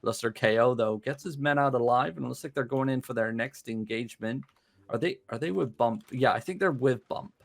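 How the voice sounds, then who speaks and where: A man giving relaxed, chatty live play-by-play commentary on an online game battle. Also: mid-range voice, fairly quick, creaky. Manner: relaxed, chatty, laughs easily. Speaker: esports caster.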